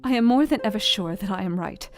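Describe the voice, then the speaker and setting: Actress as an earnest, precise young Victorian wife. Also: female voice, medium pitch, animated, lightly acted. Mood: determined. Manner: earnest, precise, deliberate diction. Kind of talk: dramatized audio reading of a Gothic novel journal. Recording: music behind the voice. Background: instrumental music.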